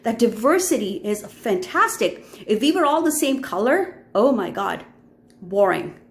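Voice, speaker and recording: A woman's voice: medium pitch, sing-song, unhurried. A woman with an Indian accent talking earnestly in a personal vlog, with frequent fillers and restarts. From Middle East. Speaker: vlogger.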